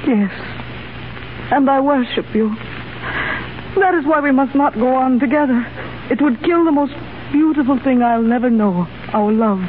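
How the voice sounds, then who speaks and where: A woman's voice: high-pitched, moderate pace, phone-quality sound, slight background noise, natural voice. An actress playing an earnest young Russian revolutionary woman who speaks in a formal, earnest way, heard on a 1930s radio broadcast. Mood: tender.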